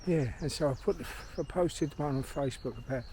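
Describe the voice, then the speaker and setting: A man's voice: fairly high pitch, brisk, dark tone. A middle-aged man with a London accent, chatting bluntly and swearing as he rambles to himself on a walk in a park. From London, England. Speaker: vlogger, filmmaker. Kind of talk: rambling casual monologue while walking. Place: outdoors in a park. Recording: outdoor background noise. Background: birdsong and outdoor ambience.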